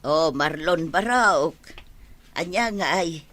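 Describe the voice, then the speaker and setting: Woman doing a fond teasing filipino mom, ilocano accent, radio drama, slow and husky. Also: fairly low pitch, lively intonation, a bit bright, heavily acted. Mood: fond, chatty. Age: middle-aged. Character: excitable village mother, fond and teasing. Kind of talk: comedy radio drama acting.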